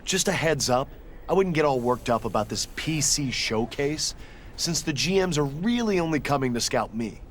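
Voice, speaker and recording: Man playing an animated, arrogant rival. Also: on the high side, moderate pace, a little hiss or hum, lightly acted. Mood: smug. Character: arrogant, scornful young male rival wrestler. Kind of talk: acted video game cutscene dialogue.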